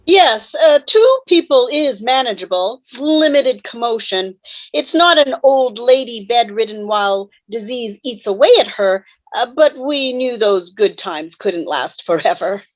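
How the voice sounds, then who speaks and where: Fussy, formal ghost, read by a woman, highish swooping voice, dull video-call sound. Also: expressive, measured pace. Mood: grumbling. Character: formal, fussy, grumbling old-time ghost.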